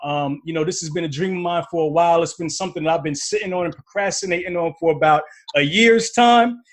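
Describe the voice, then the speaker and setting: A man's voice: on the high side, fast talker. A man hosting a podcast, talking in a loose, casual way with slangy asides and many repeated words. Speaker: podcast host. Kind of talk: casual interview chat.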